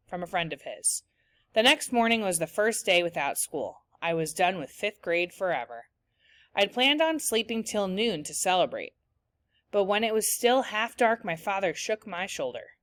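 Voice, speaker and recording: A woman's voice: mid-range voice, fairly bright tone, no echo. Woman reading a story aloud, dry sound. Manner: clear storytelling reading with discussion questions. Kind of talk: read-aloud of a children's novel.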